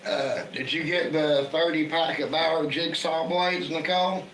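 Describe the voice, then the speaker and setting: A man speaks in a relaxed, chatty way, his voice hoarse from a cold. He greets viewers by name as he talks. The recording has a roomy echo with a faint hiss underneath. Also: on the high side, a little slow. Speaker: livestream host. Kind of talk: casual livestream chat. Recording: echoey room with faint background noise.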